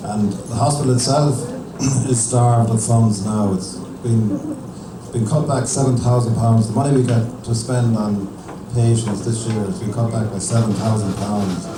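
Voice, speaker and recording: A man's voice: fairly low pitch, steady tone, moderate pace, hardly any pauses. An Irish man giving an informal thank-you speech at a function in a hotel hall. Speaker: event speaker. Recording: echoey noisy hall, distant camcorder.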